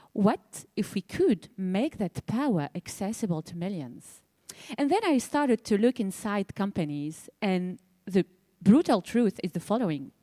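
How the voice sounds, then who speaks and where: Woman founder from Tunisia, mid-range voice, delivering an earnest, emphatic stage pitch in a dry, close recording. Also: dark tone, no room sound. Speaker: startup founder and CEO pitching.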